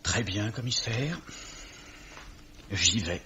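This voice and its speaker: A man playing a meek, polite young gentleman, hesitant and deferential, in an old radio drama. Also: fairly low pitch, very slow, a little hiss or hum, full character voice. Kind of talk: 1950s French radio drama acting.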